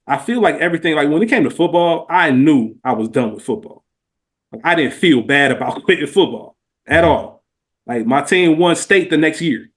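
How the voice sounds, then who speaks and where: A man's voice: a little high, expressive, normal speed. An American man co-hosting a podcast in casual, reflective conversation, earnest and slangy, repeating phrases for emphasis. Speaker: podcast co-host.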